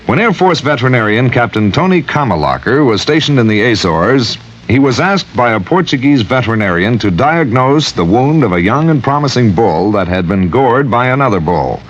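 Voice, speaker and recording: A man's voice: mid-range voice, sing-song, normal speed. A man reading a scripted story as a radio announcer. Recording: dull, faintly noisy old radio recording.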